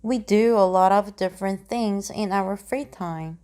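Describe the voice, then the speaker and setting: Woman reading example sentences slowly. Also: mid-range voice, mellow, clear, resonant tone. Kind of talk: slow, evenly paced reading of grammar example sentences.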